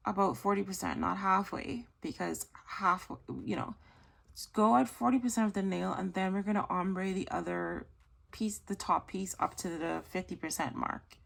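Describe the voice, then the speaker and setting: Woman host, mid-pitch, gravelly fry, chatty tutorial delivery, a little hoarse. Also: a little slow. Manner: chatty, gushing, self-correcting. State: slightly hoarse, losing her voice. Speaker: nail art tutorial host. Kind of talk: casual tutorial chat.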